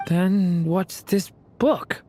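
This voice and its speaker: A woman playing a gentle, earnest and unsure young boy in a video game, speaking hesitantly. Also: fairly low pitch, drawn-out words, a little hiss or hum. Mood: curious, earnest. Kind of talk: video game character acting.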